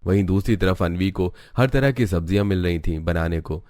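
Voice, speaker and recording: Low-voiced male story narrator. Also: normal speed, a little mellow, no room sound, studio quality. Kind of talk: scripted cartoon story narration.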